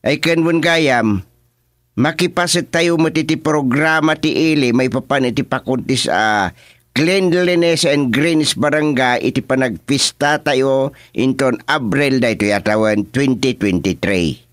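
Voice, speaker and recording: A man's voice: medium pitch, measured pace, fairly bright tone, no room sound, clean studio sound, natural voice. An actor playing a plain-spoken, easily exasperated village chairman in a rural comedy radio drama. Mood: amused, deliberate.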